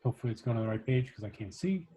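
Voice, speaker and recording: A man's voice: medium pitch, lively intonation, racing, dark tone, a little hiss or hum. Man giving a casual coding tutorial. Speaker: workshop instructor.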